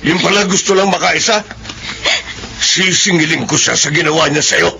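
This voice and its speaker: A man's voice: on the high side, normal speed, vocal fry, pushed and bright, highs cut off, a little hiss or hum. A middle-aged man playing an angry father in a radio drama, stern and accusing. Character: furious father out for revenge. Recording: music bed under the voice.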